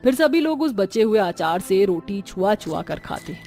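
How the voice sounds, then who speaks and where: A woman's voice: medium pitch, very animated, normal speed, warm tone, faint music behind. A woman narrating a scripted family story as storyteller.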